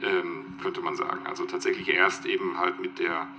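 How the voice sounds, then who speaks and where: A man giving reflective, halting spoken commentary on literature, searching for words, in a noisy recording. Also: sits low, level tone. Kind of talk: spontaneous interview commentary.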